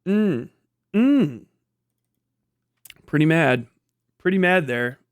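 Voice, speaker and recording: A man's voice: fairly high pitch, very animated, extremely slow, dry recording, studio quality. A man hosting a livestream, giving casual, profanity-laced commentary in an exasperated, incredulous, argumentative manner. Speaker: livestream host reviewing own debate.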